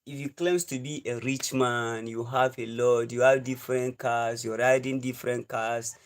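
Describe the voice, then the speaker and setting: Earnest young Nigerian man lecturing. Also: medium pitch. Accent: Nigerian English. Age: 20s. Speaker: live stream host. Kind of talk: preachy livestream monologue.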